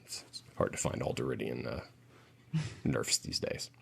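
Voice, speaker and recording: A man with a very low voice hosts a relaxed group chat. He rambles and hesitates, feeling his way through each thought in casual, unpolished talk. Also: moderate pace, gravelly, slight background noise. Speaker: podcast host.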